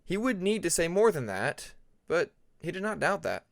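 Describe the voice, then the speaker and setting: A man narrating a scripted fantasy story as an audiobook reader, with deliberate, dramatic phrasing. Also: high-pitched, big pitch swings, vocal fry, no echo, clean studio sound. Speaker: audiobook narrator of fan fiction.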